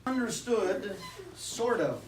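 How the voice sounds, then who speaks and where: A woman reading a storybook aloud to a live group with dramatic emphasis, pausing to ask them questions. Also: medium pitch. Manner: expressive, dramatic emphasis, engages listeners with questions. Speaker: storybook reader. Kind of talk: interactive children's read-aloud. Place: indoor room with a live audience. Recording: echoey room, distant mic, audience chatter.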